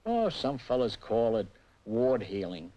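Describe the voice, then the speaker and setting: Genial, knowing older fixer. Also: male voice, medium pitch, wildly swooping pitch, measured pace, mellow, lo-fi sound. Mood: confident, obliging. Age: middle-aged or older. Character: genial, confident veteran political fixer, a hospital patient. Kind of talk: old crime drama acting.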